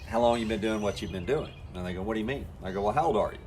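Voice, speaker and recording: A man's voice: on the low side, rapid-fire, background noise. A man coaching network marketers in a folksy, talk-to-camera style, acting out the conversations he has with people. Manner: folksy, acts out quoted conversations.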